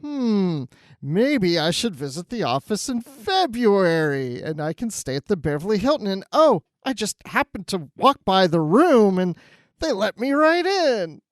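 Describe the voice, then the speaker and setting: A man's voice: high voice, sing-song, a little slow, no room sound. A man co-hosting a fan podcast in casual, conversational chat. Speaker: podcast co-host.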